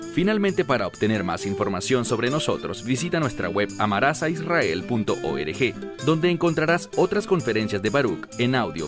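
A man announcing the closing of a program from a script, measured and clear. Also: mid-range voice. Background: music with bells.

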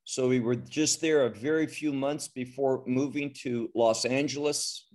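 A man, a Bible teacher being interviewed, who answers in a halting way with restarts. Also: medium pitch, fairly even intonation, darkish tone. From United States.

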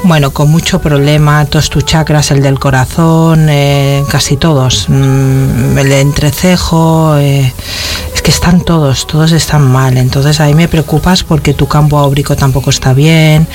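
A woman's voice: low voice, fairly even intonation, measured pace, a little mellow. A woman working as a psychic medium, giving a caller a spiritual reading on a call-in radio show, in a soothing, reassuring manner that keeps checking in with the caller. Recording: radio broadcast with faint music.

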